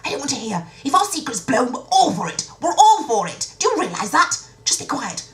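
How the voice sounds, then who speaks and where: A bossy young storybook princess, played by a woman narrator, high and urgent. She snaps and repeats herself nervously, with her pitch jumping around. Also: very animated, fairly quick, fairly bright tone. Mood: panicky scolding. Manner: snappy, urgent, repetitive.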